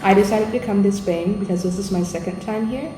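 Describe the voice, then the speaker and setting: A woman's voice: medium pitch, a little fast, warm tone. A young woman from the United States, a language assistant, answering interview questions in a friendly, earnest way. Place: school room. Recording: echoey room with background noise.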